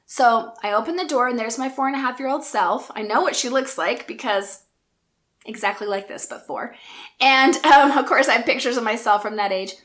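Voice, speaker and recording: A woman in her forties telling a personal story in an earnest, reflective and gentle way. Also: a little high, normal speed. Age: 40s. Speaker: speaker and coach.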